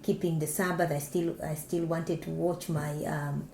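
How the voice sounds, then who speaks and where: A woman tells her story in a chatty way and affirms often. Her voice sits a little low. The echoey room carries faint background noise. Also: flat delivery, a little slow, a little mellow. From East Africa. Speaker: guest, mother. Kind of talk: casual conversation.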